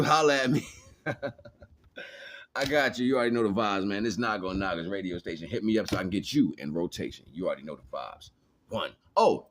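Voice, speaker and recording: A man talking casually and slangily as a radio host and promoter, hyping things up with repeated catchphrases. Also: medium pitch. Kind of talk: casual hype promo talk. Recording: clear background noise.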